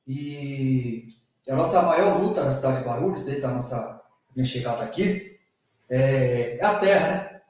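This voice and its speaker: A man's voice: mid-range voice, darkish tone, distant mic. Sao guy talking as a guest, earnest, says né a lot, very echoey. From São Paulo state, Brazil. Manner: earnest, rhetorical, frequent "né" tags. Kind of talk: interview talk. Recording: very echoey room, voice from off-mic.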